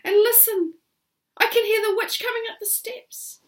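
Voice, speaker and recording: A woman's voice: extremely high pitch, fairly even intonation, normal speed, pitched above the performer's own voice. A woman voicing a brave young girl in a children's story, speaking eagerly. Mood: excited.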